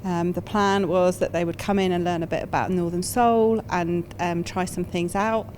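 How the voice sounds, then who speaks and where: A woman's voice: fairly low pitch, steady tone, moderate pace, darkish tone, a little hiss or hum. A woman who works on an arts project, talking in a documentary interview.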